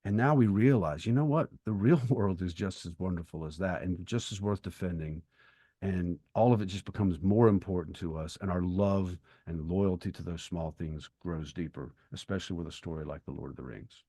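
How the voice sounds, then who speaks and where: A man co-hosting a podcast, speaking reflectively and earnestly, with thoughtful, halting phrasing. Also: low-pitched, mellow, no room sound, studio quality. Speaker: podcast co-host. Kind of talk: reflective literary discussion and prayer.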